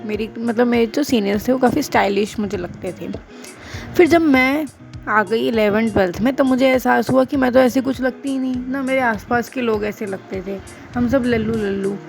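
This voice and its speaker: Chatty young woman with a gravelly voice. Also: fairly high pitch, moderate pace, soft background music. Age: 20s. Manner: chatty, mixing English phrases, self-deprecating. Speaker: vlogger and school teacher. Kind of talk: casual Hinglish chat to camera.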